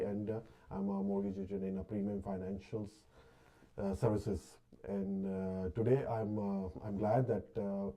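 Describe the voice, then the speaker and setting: A man's voice: fairly low pitch, mellow, rough recording. A man, a Punjabi speaker, hosting a casual live stream as a realtor, full of hesitant fillers and restarts. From Pakistan. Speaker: podcast host, realtor and mortgage agent.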